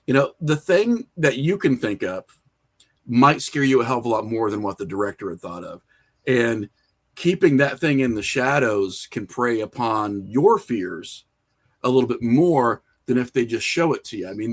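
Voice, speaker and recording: A man talking informally as an interview guest, explaining his views in loose, slangy speech peppered with filler phrases. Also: medium pitch. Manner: animated explaining, frequent "you know" and "like", informal slang. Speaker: podcast guest, horror filmmaker. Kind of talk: casual interview conversation.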